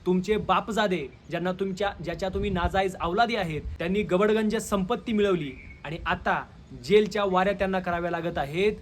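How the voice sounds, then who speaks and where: A man with a high-set voice and a Maharashtrian Marathi accent gives opinion commentary to his audience. His delivery is rhetorical and confrontational. Slight background noise sits under the recording. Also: a little fast, few pauses. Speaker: video commentator, channel host. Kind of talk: opinion commentary addressed to viewers.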